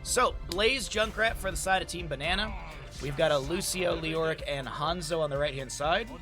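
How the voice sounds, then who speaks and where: A hoarse man casting a live-streamed esports match, chatty and thinking aloud, often correcting himself. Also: on the high side, normal speed, over music.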